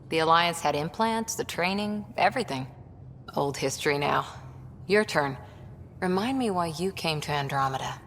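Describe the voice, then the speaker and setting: An actress playing a young, steady, matter-of-fact ex-commando woman in a video game. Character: young female ex-commando soldier, dry and steady. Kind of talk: video game character dialogue. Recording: long reverb, faint rumbling background noise.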